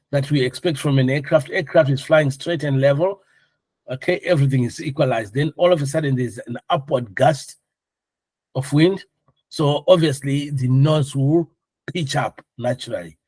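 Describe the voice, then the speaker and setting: A man's voice: on the high side, darkish tone, no room sound, low-quality recording. A man instructing a class in an informal, conversational and jokey way, asking questions and explaining step by step. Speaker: air traffic control course instructor.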